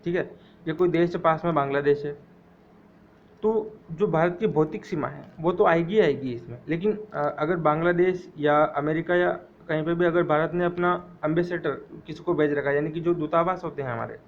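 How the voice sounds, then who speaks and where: A man teaching an exam-prep economics lecture, repeating key phrases slowly so students can memorize them. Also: on the high side, narrow, tinny audio, slight background noise.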